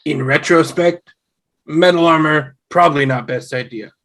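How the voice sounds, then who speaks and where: A man's voice: fairly high pitch, moderate pace, fairly bright tone. A man playing a blunt Viking ghost who speaks in terse broken sentences, in an online table read. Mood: rueful. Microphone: video call.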